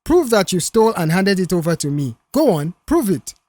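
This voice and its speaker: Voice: mid-range voice, very animated, moderate pace, darkish tone, dry recording. A narrator voicing a defensive, indignant male worker in an audiobook story. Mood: defensive, challenging.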